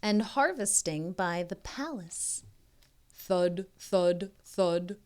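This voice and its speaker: A woman reading a children's story aloud as a tutor, expressively and deliberately, with informal, encouraging asides to young listeners. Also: lowish voice, expressive, a little mellow. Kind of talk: modeled children's read-aloud with chatty asides.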